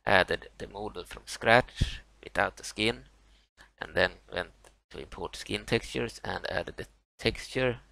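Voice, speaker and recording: Swedish man, non-native English, low gravelly voice, halting tutorial narration with lots of fillers, close and dry. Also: sharp tone, dry recording, rough recording. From Sweden. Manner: halting, many fillers and restarts. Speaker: tutorial maker. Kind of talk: screen-recorded software walkthrough.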